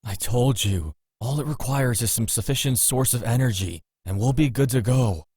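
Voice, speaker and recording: An actor playing a gruff, stubborn man in a visual novel, blunt and cocky but caring. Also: medium pitch, moderate pace, a little mellow, no room sound, studio quality, lightly acted. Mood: confident.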